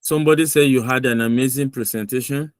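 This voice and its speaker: A man runs a mock visa interview over a video call and gives students firm, lecturing feedback. His voice sits at a middle pitch and sounds dry and close. He repeats his points to drive them home. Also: steady tone, fast, dry recording.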